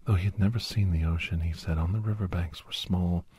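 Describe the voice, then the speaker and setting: A man playing a weary, guilt-ridden older doctor and veteran hunter, whispering a slow, halting confession in a breathy, husky voice as an ASMR audio story. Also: deep voice, fairly even intonation, mellow, a little hiss or hum, close to an everyday voice. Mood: quiet, wistful.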